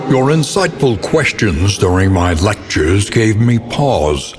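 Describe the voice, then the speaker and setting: An actor voicing a grim, learned older narrator of a gothic video game, speaking in an ominous, solemn, theatrical way. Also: male voice, lowish voice. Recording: long reverb with faint background noise.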